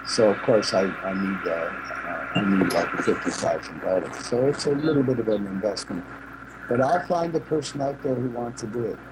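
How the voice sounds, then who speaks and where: A man guest in a casual chat, jokey and animated, speaking over a video call. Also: fairly low pitch, normal speed, background noise. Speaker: interview guest.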